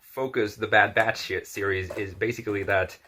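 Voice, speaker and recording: A man in his twenties chatting casually as a podcast guest, with halting, hesitant phrasing. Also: on the low side, steady tone, sharp tone, a little hiss or hum. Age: 20s. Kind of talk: casual chat about film and TV.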